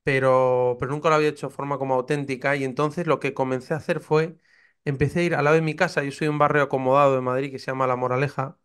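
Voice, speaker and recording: A man's voice: mid-range voice, level tone, fast talker, clear, resonant tone. A man in his thirties from Spain with a Castilian accent, a priest telling his faith story with earnest fervor. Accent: Castilian Spanish. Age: 30s. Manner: earnest, fervent storytelling, acts out his own past doubts. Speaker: priest, podcast guest. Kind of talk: personal testimony in conversation.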